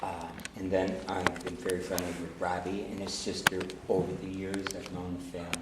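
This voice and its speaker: A man, a defense lawyer, speaking at a hearing in a relaxed, conversational way. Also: deep voice, fairly quick. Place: large echoey hearing room. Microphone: recorded from far by a camcorder. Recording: echoey room, distant camcorder mic, some noise.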